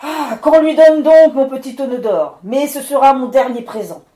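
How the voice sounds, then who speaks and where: A woman's voice: fairly quick, dark tone, lightly acted. A woman voicing an irascible male wind spirit lord who thunders out threats and scolding. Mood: furious. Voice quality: booming, thundering. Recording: roomy echo.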